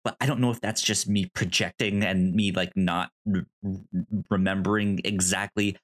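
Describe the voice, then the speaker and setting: A man co-hosting a podcast, casually discussing films in a halting way, circling back over his words. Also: low voice, fairly even intonation. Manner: hesitant, halting, restarts and repeats words. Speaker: podcast co-host.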